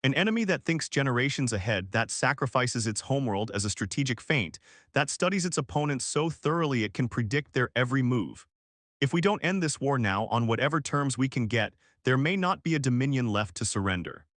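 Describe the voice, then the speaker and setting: A man's voice: medium pitch, lively intonation, fairly quick, fairly bright tone, no room sound, studio quality, lightly acted. A man reading as a grim, blunt alien admiral in a science fiction story, with formal, clipped military speech. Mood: blunt, urgent.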